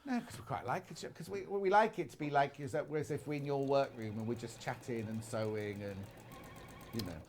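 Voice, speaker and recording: A British man hosting a live sewing shopping show, chatting in a quick, jokey way. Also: medium pitch, a little mellow, a little hiss or hum. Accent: British English. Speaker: shopping channel presenter. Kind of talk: casual chat while sewing on air.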